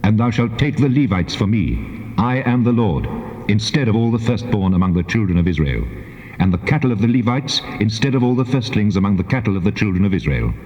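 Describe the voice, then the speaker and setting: A man plays a solemn, commanding deity, declaiming scripture in measured phrases. The low voice rises and falls expressively, ringing in a long, echoing reverb on a dull tape. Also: expressive, a little mellow, close to an everyday voice. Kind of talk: dramatized King James Bible reading.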